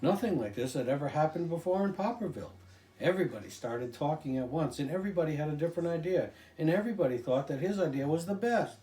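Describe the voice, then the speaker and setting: Expressive older man reading, very varied pitch. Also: fast. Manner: expressive, dramatic, with occasional stumbles. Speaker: storyteller. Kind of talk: animated children's picture book reading. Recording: roomy echo with music behind.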